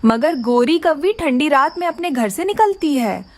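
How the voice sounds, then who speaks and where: A woman's voice: high voice, expressive, a little slow, background noise. A woman narrating a children's story from a script as the storyteller.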